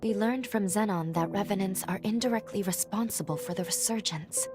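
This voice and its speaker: An actress voices a dutiful young woman in a video game. She speaks formally and sincerely, in a measured middle register. The sound is roomy and a little distant, with faint music underneath. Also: medium pitch, close to an everyday voice. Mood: uneasy, questioning. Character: earnest, dutiful young female companion, polite and questioning. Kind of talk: video game cutscene acting.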